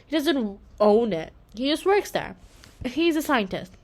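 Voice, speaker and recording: A woman's voice: a little high, big pitch swings, unhurried, darkish tone. A woman voicing a confident, bossy eight-year-old girl in a children's storybook, with an insistent, matter-of-fact manner. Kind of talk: children's storybook read-aloud.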